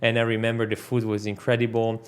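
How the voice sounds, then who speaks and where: Guy, lots of uh and restarts, average pitch, podcast guest. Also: monotone, fast. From Switzerland. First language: Italian. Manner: hesitant, many fillers and restarts. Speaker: guest entrepreneur. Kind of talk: casual interview conversation.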